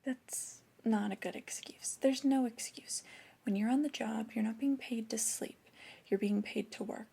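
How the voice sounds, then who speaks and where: Woman as flirty, disappointed boss for ASMR roleplay. Breathy, whispery, creaky low register in delivery, intimate reprimands. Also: dark tone, full character voice. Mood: reproachful, skeptical. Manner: slow, deliberate, intimate reprimanding. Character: flirty, disappointed female store boss scolding an employee. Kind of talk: soft-spoken ASMR roleplay.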